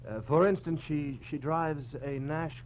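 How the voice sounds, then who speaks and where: A man's voice: a little high, steady tone, measured pace, close to an everyday voice. A man playing a polite, evasive former lover in an old radio crime drama, earnest but hesitant and guarded. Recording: dull, noisy vintage radio recording with hum.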